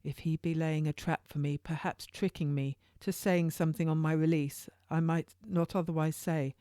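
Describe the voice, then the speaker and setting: Low-pitched woman narrating, dry sound. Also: steady tone, normal speed, dark tone, dry recording. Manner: informal read-aloud with occasional stumbles. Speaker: author reading own novel. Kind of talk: first-person historical audiobook narration.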